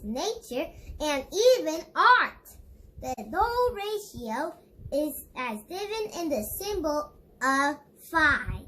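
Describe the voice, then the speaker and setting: A child host reading an educational picture book aloud in a deliberate, instructive way, speaking directly to viewers. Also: high-pitched, bright, forceful tone, low-quality recording.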